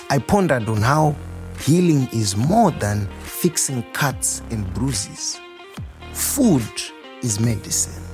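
Slow-talking, proud chef. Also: male voice, medium pitch. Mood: earnest, proud. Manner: expressive, reflective, wryly humorous. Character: warm, proud, playful Zimbabwean nurse turned chef. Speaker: storyteller in an audio monologue. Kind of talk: dramatic first-person monologue.

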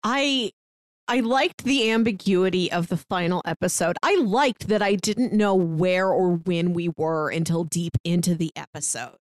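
A woman co-hosting a casual TV review podcast, giving measured, thoughtful opinions. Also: fairly low pitch, no room sound, clean studio sound. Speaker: podcast co-host.

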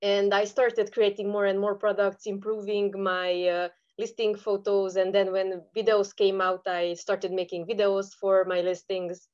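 A woman's voice: medium pitch, little pitch movement, a little slow, few pauses, darkish tone, lo-fi sound. A woman from Romania with a Romanian accent, answering conversationally as an interview guest. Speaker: podcast guest, online seller.

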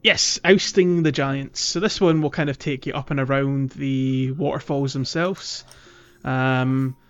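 A man's voice: medium pitch, fairly even intonation, unhurried, smooth, lo-fi sound. A man co-hosting a gaming podcast in casual, conversational speech. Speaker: podcast co-host.

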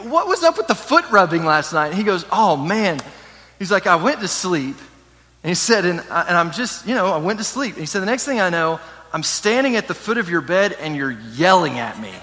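A man preaching a sermon, telling a personal story in a casual, animated way. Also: a little high, long reverb tail. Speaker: pastor.

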